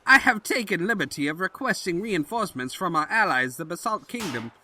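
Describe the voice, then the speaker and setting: An elderly pony chancellor from a comic audio drama, played by a man. He is loyal and fretful, with a high, very sharp voice. His delivery is formal and fawning, over audible noise. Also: animated, a little fast, heavily acted. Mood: anxious, deferential. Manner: fussy, formal, obsequious. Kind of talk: comedic audio drama acting.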